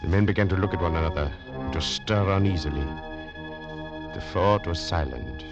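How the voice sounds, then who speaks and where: A muffled old radio broadcast with music carries a stern Legion major. His low voice tells a tense desert adventure with dramatic, military authority, in 1940s radio fashion. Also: moderate pace, vocal fry. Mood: eerie, suspenseful. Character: stern French Foreign Legion major, uneasy and commanding. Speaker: narrator and lead character.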